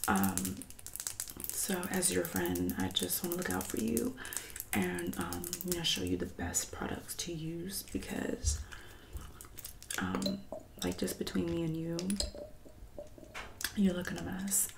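A woman in her twenties doing an ASMR roleplay as a catty hairdresser friend, soft-spoken and often whispering, with condescending, passive-aggressive delivery. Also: on the low side, very animated, creaky, background noise. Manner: condescending, passive-aggressive, drawn-out backhanded remarks.

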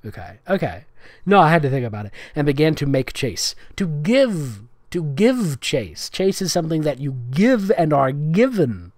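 A man's voice: fairly high pitch, big pitch swings, normal speed, darkish tone, no echo, studio quality. A man giving a comedic dramatic reading, with sarcastic, nitpicking asides as the host. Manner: sarcastic, nitpicking, breaking into giggles. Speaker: host reading fan fiction aloud.

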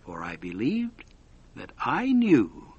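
A man slowly reading a story aloud for English learners, with clear, careful pacing. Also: medium pitch, wildly swooping pitch, muffled recording. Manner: slow, clearly paced reading. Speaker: narrator.

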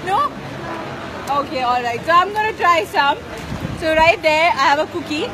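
A woman's voice: extremely high pitch, expressive, moderate pace. A woman in her thirties with an Indian English accent, enthusiastically hosting live coverage at an outdoor food festival with a handheld mic. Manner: enthusiastic, chatty, addresses viewers. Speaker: host. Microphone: handheld mic, phone camera close. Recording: festival music and crowd noise.

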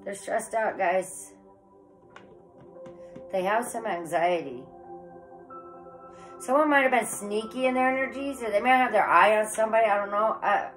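A woman's voice: medium pitch, fairly bright tone, rough recording. A woman in her forties with a head cold, chatting casually to camera as she reads tarot cards, rambling and calling her viewers "guys". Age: 40s. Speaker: tarot reader. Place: living room with electric fireplace, indoors.

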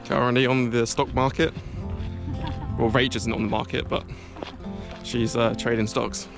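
A man's voice: medium pitch, flat delivery, a little slow, creaky. Australian guy in his thirties, upbeat outdoor vlogger, arm's-length camera, music behind him. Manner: chatty and upbeat, joking asides. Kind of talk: casual hiking vlog. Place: outdoors on a bush trail and beach. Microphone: action camera held at arm's length.

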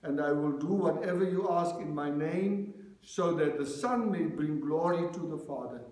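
Man, church minister, measured preaching, higher voice, very echoey sanctuary. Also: a little mellow. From South Africa. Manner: slow, measured reading cadence. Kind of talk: sermon with scripture reading. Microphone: lectern microphone, far camera.

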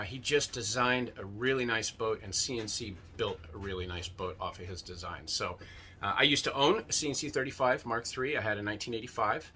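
A man in his fifties hosting a casual walkthrough tour of a sailboat, talking in a relaxed, enthusiastic way with lots of fillers, recorded on a handheld camera inside a small cabin. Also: fairly low pitch. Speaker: boat tour host. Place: small boat cabin, indoors. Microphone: handheld camera mic at arm's length.

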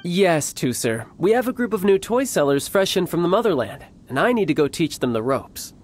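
A man's voice: high voice, very animated, normal speed, slight background noise. A man voicing a charming, self-assured young soldier in a video game. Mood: amiable. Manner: smooth, amiable, confident.

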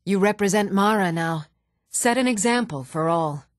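A woman acting as an earnest townswoman from a fantasy role-playing game. Her voice sits at a middle pitch and she speaks in measured, formal, carefully enunciated phrases. The recording is dry and close, with no room around it. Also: expressive, dry recording, close to an everyday voice. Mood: solemn, kindly. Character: even-toned fantasy townswomen: earnest mother, priestess, steward. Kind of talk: scripted video game voice acting.

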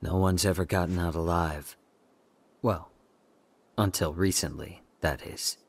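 An actor playing a cool, guarded young underworld henchman in a video game, laconic, unhurried and detached. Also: sits low, steady tone, gravelly, full character voice. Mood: dry, knowing. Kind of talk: video game cutscene acting.

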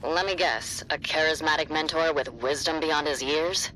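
A dry, guarded game heroine. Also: female voice, deep voice, normal speed, pushed and bright, slight background noise, rough recording. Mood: dry, sarcastic. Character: wry, guarded young heroine, dryly sarcastic. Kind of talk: scripted video game dialogue.

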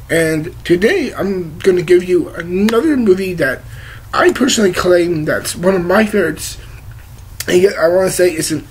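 A young man with a cold, his voice croaky and cracking, rambling through a blunt movie review vlog on a webcam in his living room. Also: high voice, poor audio. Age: young adult. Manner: rambling, gesturing a lot, blunt and swearing. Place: living room with leather couch, indoors. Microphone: webcam at desk distance.

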